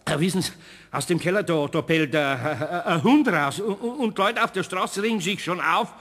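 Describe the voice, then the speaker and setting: Flustered older Bavarian craftsman, high-pitched, reverberant. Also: expressive, normal speed, fairly bright tone. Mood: polite, awkward. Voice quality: stammering when flustered. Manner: rambling, homely dialect speech. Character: flustered, good-hearted older male craftsman, grumbling and pleading. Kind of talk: German radio play acting. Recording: reverberant studio radio play.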